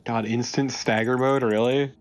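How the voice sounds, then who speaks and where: A man's voice: mid-range voice, fairly even intonation, normal speed, soft background music. A man chatting casually as co-commentator on a video game, dry and opinionated. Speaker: let's play co-commentator. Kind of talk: casual gameplay chat.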